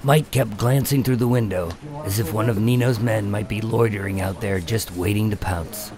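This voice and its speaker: A man playing an undercover agent in a radio drama, telling his story with deadpan, wry tension. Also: lowish voice, normal speed, a crowd in the background, natural voice. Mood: tense, wry. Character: wry, nervous undercover agent posing as mob enforcer. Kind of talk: first-person noir radio drama narration and dialogue.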